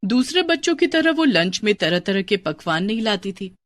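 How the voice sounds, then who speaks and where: A woman's voice: mid-range voice, big pitch swings, normal speed, no echo. A woman storyteller reading scripted narration of a moral bedtime story.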